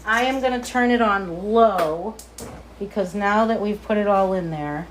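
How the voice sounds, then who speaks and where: A woman with a light Boston accent hosting a live cooking demo from her kitchen, chatty and excitable as she talks to viewers. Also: mid-range voice, unhurried, background noise. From Boston area. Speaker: cooking show host. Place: home kitchen, indoors.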